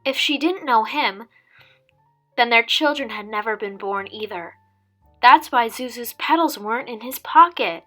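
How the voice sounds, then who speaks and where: A woman reading a children's story aloud as a teacher would, with dramatic, expressive delivery. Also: fairly high pitch, unhurried. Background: background music.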